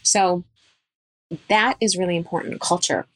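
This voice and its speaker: A woman's voice: lowish voice, fairly even intonation, unhurried, a bit bright, no room sound. A woman dentist, a guest on a podcast interview, giving firm and emphatic advice.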